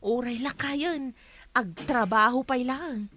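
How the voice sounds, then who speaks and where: A woman's voice: fairly high pitch, slow, sharp tone. A woman with a Filipino accent acting an exasperated schoolteacher wife who nags and scolds in a radio drama. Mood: chatty. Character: exasperated nagging schoolteacher wife, the household breadwinner. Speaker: actor in an audio drama.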